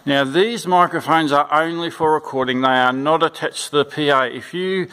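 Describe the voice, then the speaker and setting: A man giving an informal, unscripted instructional walkthrough in a plain-spoken, halting way, repeating his instructions. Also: fairly high pitch, pushed and bright. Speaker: church sound system instructor. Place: indoors in a church.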